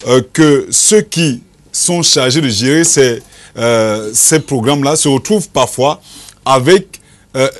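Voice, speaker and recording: A man, a journalist arguing on a TV panel, hesitating with many fillers. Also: medium pitch. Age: 40s. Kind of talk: televised panel debate.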